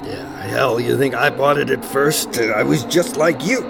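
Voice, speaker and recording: An actor playing a disturbed, paranoid locked-up man in a horror audio drama, halting and repeating himself as he broods. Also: mid-range voice, vocal fry, background noise. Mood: haunted, agitated.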